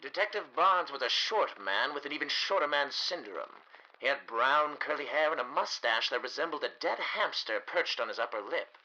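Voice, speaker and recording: A man giving scripted narration for a 1930s-style radio mystery, telling the story dramatically with deliberate pauses. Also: medium pitch, lively intonation, bright, forceful tone, highs cut off.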